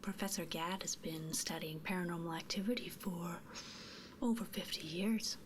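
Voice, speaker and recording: A woman performing an ASMR roleplay in a soft, breathy whisper, gently inquisitive and murmuring, close to the microphone. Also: lowish voice, moderate pace, background noise. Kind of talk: whispered ASMR roleplay as a ghost removal technician.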